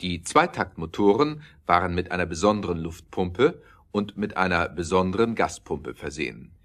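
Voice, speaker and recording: Male narrator, very deep register, scripted storytelling for audio drama. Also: lively intonation, normal speed, fairly bright tone.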